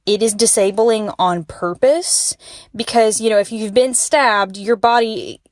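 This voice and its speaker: A woman's voice: mid-range voice, unhurried, no room sound. A young woman from Alabama leaving a conversational listener voicemail, explaining her personal experience candidly. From Alabama, United States. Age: young adult. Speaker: listener calling in. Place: voicemail message recorded for a podcast.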